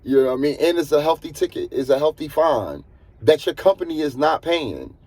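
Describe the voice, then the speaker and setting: A man with a husky voice, talking casually as a truck driver giving earnest, emphatic advice and repeating points for stress. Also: a little high, expressive, brisk, darkish tone, no room sound. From United States. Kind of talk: casual advisory monologue.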